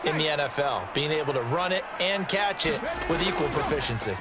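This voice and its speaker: An actor playing a measured American color analyst who explains football plays conversationally in a video game. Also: male voice, fairly high pitch, lively intonation, over a noisy crowd, very noisy, lightly acted. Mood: measured, approving. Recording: loud game music behind voice.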